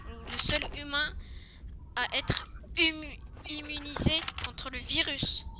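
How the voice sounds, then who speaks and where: A woman's voice: high voice, steady tone, slow pace. A woman improvising a game roleplay, talking hesitantly with many fillers. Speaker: roleplay player. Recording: loud background noise.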